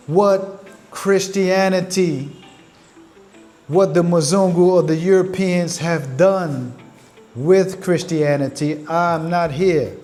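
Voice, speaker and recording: Slow-talking man. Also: on the high side, a little mellow. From Caribbean. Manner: earnest, deliberate, addresses viewers as family. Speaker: channel host. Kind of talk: livestream commentary to viewers.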